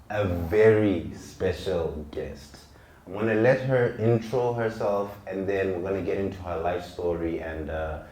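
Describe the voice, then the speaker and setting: A man hosting a podcast interview, asking relaxed, chatty questions with fillers. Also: deep voice. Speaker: podcast host. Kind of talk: casual interview. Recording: echoey room with faint noise.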